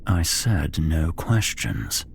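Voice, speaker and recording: A man voicing a tall, gaunt, mysterious stranger in a whispered horror story, speaking in a flat, cold, terse manner. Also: very deep voice, extremely slow, gravelly, slight background noise, exaggerated voice. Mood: stern, commanding.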